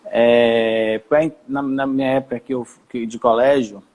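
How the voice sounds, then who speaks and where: A man from Salvador, a federal judge telling his career story in a relaxed, rambling way with many restarts, on a video call. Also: medium pitch, measured pace, narrow, tinny audio. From Brazil, Salvador.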